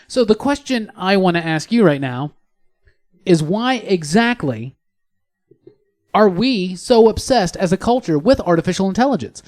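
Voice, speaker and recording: High-voiced man hosting a podcast. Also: sing-song, normal speed, darkish tone. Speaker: podcast host. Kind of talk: discussion moderating, casual podcast talk.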